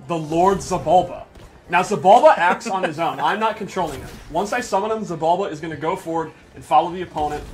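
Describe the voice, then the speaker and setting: A man presenting gameplay in casual, unscripted commentary, explaining things with enthusiasm and emphasis. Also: on the high side.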